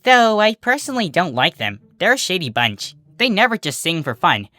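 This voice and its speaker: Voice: mid-range voice, sing-song, normal speed, sharp tone, no room sound, pitched above the performer's own voice. A narrator voicing a friendly, innocent and carefree female sea pony in a breezy, cheerful storybook style. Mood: storytelling.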